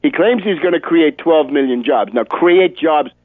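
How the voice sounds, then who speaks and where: A man's voice: fairly high pitch, animated, normal speed. An older American man hosting a political radio show, delivering an emphatic, polemical commentary monologue with heavily loaded wording. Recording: muffled phone-like radio audio.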